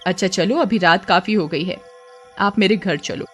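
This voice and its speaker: A woman's voice: lowish voice, moderate pace, soft background music, natural voice. A woman voicing a nervous, kind-hearted young married woman in a horror storybook tale, anxious and muttering. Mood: relieved.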